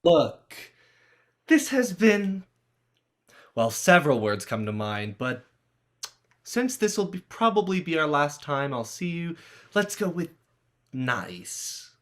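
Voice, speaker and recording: Male actor as awkward, polite teen lead in a dark stage comedy: slower, halting, dry humor, very lively pitch. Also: exaggerated voice. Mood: uneasy. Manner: hesitant, wry. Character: awkward, dryly polite, uneasy teenage boy. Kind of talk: dramatized livestream play reading.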